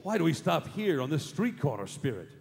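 A man playing a fearful old miser in a staged radio drama, anxious, halting and imploring. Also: a little high, big pitch swings, creaky, slight background noise. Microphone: stage mic on stand. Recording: reverberant theatre hall.

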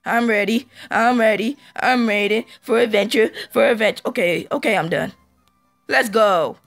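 A man with a hoarse, fading voice giving casual let's-play commentary, halting with ums and throat-clearing, recorded on a phone. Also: high-pitched, fairly bright tone, dry recording, soft background music. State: hoarse, losing his voice.

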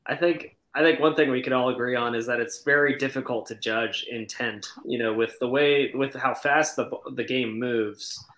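Average pitch guy, echoey room, casual sports chat, tentative and diplomatic. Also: fairly even intonation, moderate pace, few pauses, a bit bright. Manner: tentative, conciliatory. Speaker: soccer podcast co-host. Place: indoors on a video call. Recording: roomy, echoey call audio.

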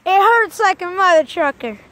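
A woman's voice: extremely high pitch, normal speed, dry recording. A young girl narrating a casual vlog while walking through rainy woods, filming on her phone. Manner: excited, chatty. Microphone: handheld phone.

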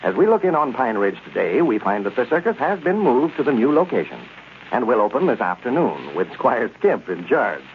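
A man's voice: medium pitch, very animated, fairly quick. A man reading scripted copy as an announcer in the style of 1930s radio. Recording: tinny, noisy 1930s radio recording.

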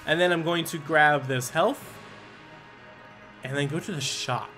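A man giving let's play commentary, thinking aloud, reading item text and breaking into quick excited exclamations. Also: a little high. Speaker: gaming channel host. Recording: game music playing underneath. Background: game music.

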